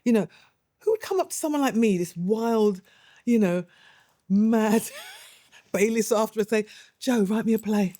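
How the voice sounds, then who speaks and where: A woman's voice: medium pitch, a little slow, long pauses, warm tone, no echo, clean studio sound. A middle-aged woman, an actress and playwright, chatting animatedly and laughing often in an interview. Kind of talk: interview, reminiscing.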